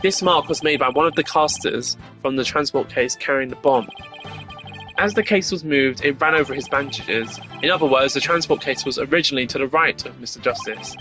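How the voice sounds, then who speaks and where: A man's voice: medium pitch, a little fast, bright, forceful tone, close to an everyday voice. A man voicing an earnest, confident male defense attorney in a courtroom game, speaking assertively. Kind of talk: dramatic visual novel courtroom reading. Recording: game music behind the voice.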